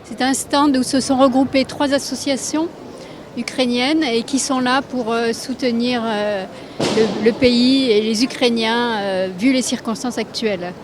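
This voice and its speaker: A woman volunteer at a charity stand, answering in a measured, hesitant way. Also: a little high, steady tone. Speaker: association volunteer. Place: outdoor fair stand. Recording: clear background noise.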